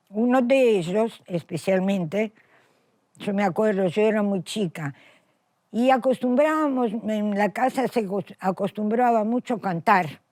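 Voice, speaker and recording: An elderly woman in her eighties speaks with an Italian accent, slowly and deliberately. She tells her memories in a reflective way, pausing to correct herself. The recording is dry and close, with no room sound. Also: medium pitch, darkish tone, no room sound. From Macedonia. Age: 80s. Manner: reflective, self-correcting storyteller. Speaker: interviewee, Holocaust survivor. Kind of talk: recorded testimony interview.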